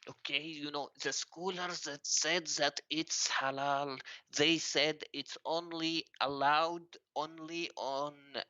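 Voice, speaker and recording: Halting guy on a debate call, sharp bright voice, muffled line, lots of uhs. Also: on the high side, steady tone, clear, resonant tone. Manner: hesitant, halting, many fillers.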